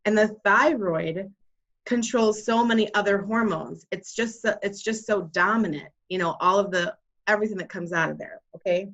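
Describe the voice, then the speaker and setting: A woman's voice: medium pitch, moderate pace, fairly bright tone. A woman hosting a wellness podcast and explaining energy healing in an earnest, conversational way. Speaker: podcast host and Reiki practitioner. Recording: dull lo-fi sound.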